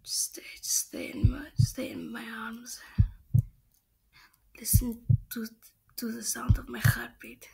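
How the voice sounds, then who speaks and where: A woman's voice: a little high, level tone, rough recording. Woman acting a whispering, tender vampire girlfriend. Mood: soothing, intimate. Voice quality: breathy whisper. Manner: hushed, slow, repeating words. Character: tender female vampire girlfriend soothing lover to sleep. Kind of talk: ASMR sleep-aid roleplay.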